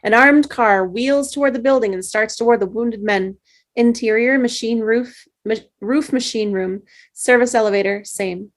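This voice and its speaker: A woman reading a screenplay's stage directions aloud at a table read, steady with small slips. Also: on the high side, moderate pace.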